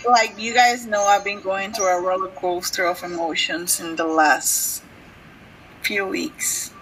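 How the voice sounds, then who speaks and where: A woman's voice: mid-range voice, fairly even intonation, unhurried, a crowd in the background, poor audio. A woman co-hosting a podcast in casual chat with friends, emotional and laughing as she talks. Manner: emotional, laughs while talking. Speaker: podcast co-host.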